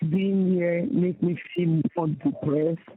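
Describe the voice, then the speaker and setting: A woman from Nigeria, held in detention, speaking haltingly and wearily over a phone line. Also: fairly low pitch, fairly even intonation, background noise. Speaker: immigrant detainee. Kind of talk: phone interview. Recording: tinny phone line from a jail.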